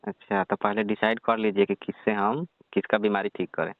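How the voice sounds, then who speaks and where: A man's voice: on the low side, little pitch movement, a little fast, no room sound, lightly acted. A man playing a married village doctor with a Bihari accent, reluctant, self-important and mock-protesting in a comic phone call. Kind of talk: comic prank-style phone call, conversational. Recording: muffled narrowband phone call.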